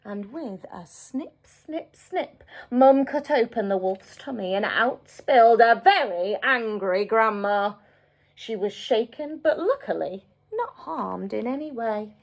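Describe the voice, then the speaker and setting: Slow, animated woman reading to children. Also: a little high. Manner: dramatic, deliberate, addressing small children. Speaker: teacher reading a story to young children. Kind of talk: expressive children's storytelling.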